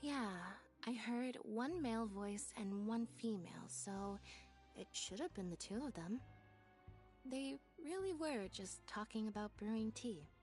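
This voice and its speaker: Gentle, high-voiced young woman. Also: lively intonation, a little slow, faint music behind. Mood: reflective. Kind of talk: video game voice acting.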